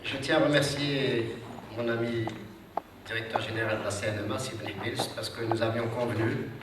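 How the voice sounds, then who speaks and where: A man's voice: medium pitch, fairly even intonation, moderate pace. A man with a cold giving a formal, hesitant speech to an audience at a seminar, with many fillers and restarts. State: has a cold. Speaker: social security official speaking at a seminar. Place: large hall with audience. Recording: echoey hall, distant mic, audience noise.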